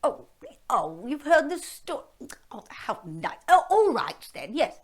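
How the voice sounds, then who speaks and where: A woman's voice: high voice, big pitch swings, slow, pitched above the performer's own voice, heavily acted. A woman doing Storeworm, a greedy stammering dragon. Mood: flattered. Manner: stammering, cheerfully polite. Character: greedy male dragon, huge, chatty and stammering.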